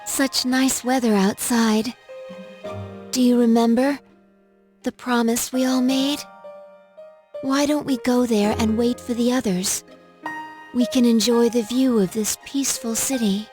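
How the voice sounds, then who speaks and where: A soft-spoken, earnest female android girl, voiced by a woman, measured and polite in every word. Her voice sits high and moves slowly. A clear music bed plays beneath her. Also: fairly even intonation, natural voice. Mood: gentle. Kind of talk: video game cutscene voice acting.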